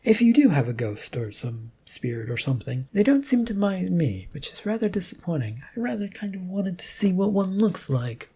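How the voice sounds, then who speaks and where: Storybook friend read by a narrator: curious, blunt, teasing woman with a creaky, mellow voice. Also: medium pitch, big pitch swings, moderate pace, few pauses, pitched above the performer's own voice. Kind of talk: amateur story reading in character.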